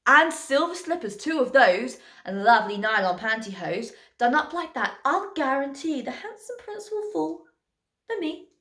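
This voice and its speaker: Woman acting out shrill, bratty young Cinderella. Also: animated, moderate pace, sharp tone, roomy sound, far from the mic, heavily acted. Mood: bossy, petulant. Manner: exclamatory, whiny, dramatic.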